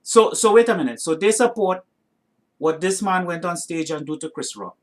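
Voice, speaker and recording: A man with a slightly high voice speaks as a reaction video host, opinionated and indignant. His North American accent carries some Trinidadian. He drives points home emphatically and punctuates them with rhetorical questions. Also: animated, normal speed. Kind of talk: opinionated reaction commentary to camera.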